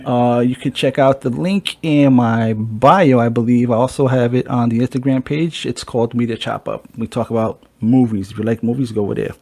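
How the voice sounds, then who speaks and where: A man's voice: medium pitch, steady tone, moderate pace, gravelly, hardly any pauses, darkish tone. A man hosting a casual podcast chat who talks in a loose way and swears freely. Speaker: podcast host.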